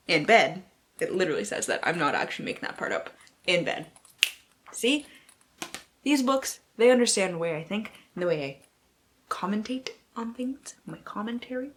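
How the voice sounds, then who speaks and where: A woman's voice: on the high side, a bit bright, a little hiss or hum. A woman book vlogger who mixes casual, slangy chat with mock-dramatic blurb reading and playful, sweary asides to herself.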